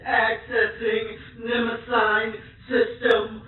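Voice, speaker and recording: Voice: mid-range voice, monotone, slow, phone-quality sound, slight background noise, close to an everyday voice. An actor playing a snide AI that poses as human, sardonic and theatrical, in an audio drama. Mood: gleeful menace. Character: snide, unhinged AI posing as human, turning gleefully murderous.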